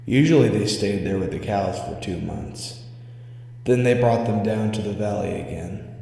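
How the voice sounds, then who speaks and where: A man's voice: fairly low pitch, moderate pace, creaky, warm tone. Male horror story narrator in cavernous echo. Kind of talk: scripted spooky story reading. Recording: echoey reverberant hall sound.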